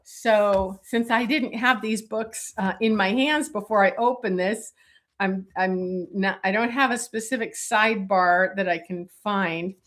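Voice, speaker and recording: A middle-aged woman illustrator chatting casually on a live stream, with many fillers and a voice going hoarse. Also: mid-range voice, a bit bright. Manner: many fillers, talks while showing pages. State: losing her voice, coughing.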